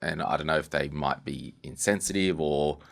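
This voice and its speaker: A man with a low, deep voice hosts a podcast conversation. He talks casually and informally, feeling his way toward each question with some self-deprecation. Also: moderate pace, vocal fry, a bit bright. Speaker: podcast host, interviewer.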